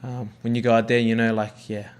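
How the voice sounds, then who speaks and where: A man's voice: mid-range voice, level tone, fairly quick. Laid-back young New Zealand man. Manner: laid-back, rambling, lots of fillers and swearing. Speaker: rugby league player. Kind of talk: casual podcast chat.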